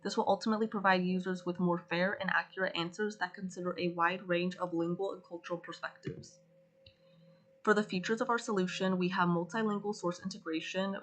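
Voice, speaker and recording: A female student presenting her group's solution, going through the features in an even, methodical way. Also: lowish voice. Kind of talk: class project presentation.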